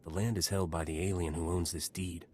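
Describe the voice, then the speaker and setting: Man acting a deep, steady game hero. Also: close to an everyday voice. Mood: measured. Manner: terse, measured. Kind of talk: scripted video game dialogue. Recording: noisy game capture.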